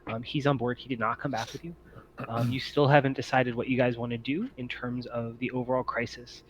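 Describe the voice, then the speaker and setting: A man's voice: medium pitch, level tone, brisk. A man running a casual tabletop game online as game master, speaking in an encouraging, appreciative way. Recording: muffled mic with noticeable background hiss.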